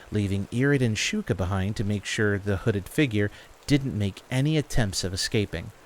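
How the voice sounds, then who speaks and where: A man's voice: on the low side, a little hiss or hum. A man reading scripted story narration as the narrator of a fantasy audio drama.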